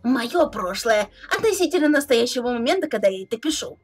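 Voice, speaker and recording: Woman playing a raspy, high-pitched teasing troll girl. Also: sing-song, brisk, faint music behind. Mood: bossy, playful. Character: teasing, bossy teenage alien troll girl. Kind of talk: fan dub of a webcomic chat.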